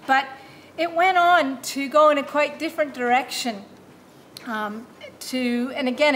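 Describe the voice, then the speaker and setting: A woman's voice: on the high side, a little hiss or hum. Canadian woman giving a podium talk. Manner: thoughtful, measured, with frequent restarts and fillers. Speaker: new academy fellow giving a speech. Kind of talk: formal academic talk. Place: wood-panelled function room. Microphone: podium microphone on a stand.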